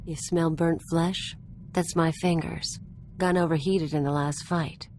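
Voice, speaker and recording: An actress playing a brash, streetwise gunslinger in a video game, talking in a casual, clipped, cocky way. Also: female voice, sits low, steady tone, measured pace, a little mellow, a little hiss or hum. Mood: wry, reminiscing. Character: brash, streetwise female outlaw gunslinger companion. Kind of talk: conversational video game acting.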